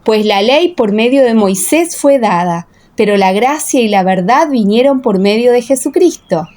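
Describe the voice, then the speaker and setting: A woman narrating a dramatised scripture audiobook in a solemn, measured way. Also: fairly high pitch, expressive, darkish tone.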